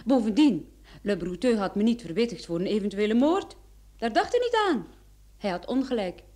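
An actress playing a clever, self-assured private detective in a radio play, pert and precise. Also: female voice, medium pitch, very animated, normal speed, darkish tone. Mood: poised, wry. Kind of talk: old radio play acting.

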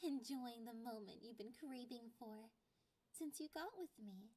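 A woman voicing a clingy, possessive catgirl girlfriend in an ASMR roleplay, with a playful, condescending and drawn-out delivery. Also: on the high side, a little mellow, pitched below the performer's own voice. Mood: mocking.

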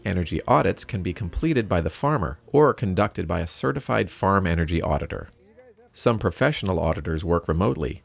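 A man reading scripted narration for an informational video. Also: low-pitched. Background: clear background music.

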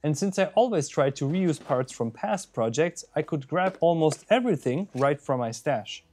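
A man's voice: fairly high pitch, lively intonation, normal speed, a little mellow, slight background noise. A man in his thirties narrating a maker video from his workshop, explaining a build in an upbeat, technical way on a lapel mic. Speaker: maker and YouTube host. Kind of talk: scripted tech voice-over narration. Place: workshop with shelves of equipment.

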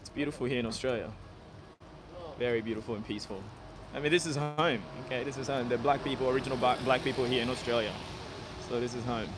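A man's voice: medium pitch, fast. A young Ghana-born man live-streaming outdoors on a sunny city street, chatting casually with viewers and filling his talk with "okay" and "yeah". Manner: relaxed, repetitive, drops in "okay" and "yeah" often. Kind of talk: casual live-stream chat, reading viewer comments aloud. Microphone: phone held at arm's length. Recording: phone recording on a noisy street. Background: street and traffic noise.

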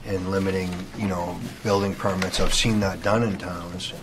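A man's voice: low voice, level tone, normal speed. A man who is a board member, debating informally at a public meeting. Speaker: planning board member and property developer. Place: indoor municipal meeting room. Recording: noisy meeting room microphone pickup.

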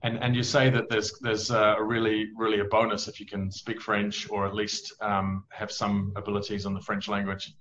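A middle-aged man hosting an interview from an office over a video call. He speaks in a measured way and asks follow-up questions. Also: lowish voice, little pitch movement, a bit bright. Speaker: interview host, immigration specialist. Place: office indoors. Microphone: video call webcam. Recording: dull lo-fi call audio with faint noise.

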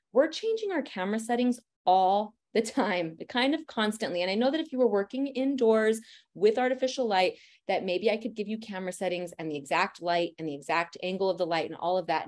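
A woman in her thirties talks through a photography class with loud, chatty energy. Her voice sits at an ordinary middle pitch. She speaks directly and warmly encourages her students to try things out. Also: lively intonation, fast. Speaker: family photographer teaching posing. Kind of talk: presentation lecture.

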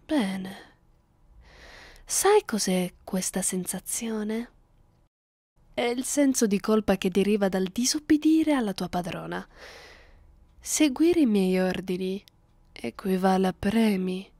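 Woman playing a slow, domineering vampire mistress. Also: mid-range voice, lively intonation, dark tone, no room sound. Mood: stern, commanding. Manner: slow, commanding, often dropping into whispers. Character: domineering, cruel, seductive vampire mistress addressing her human captive. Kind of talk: ASMR roleplay.

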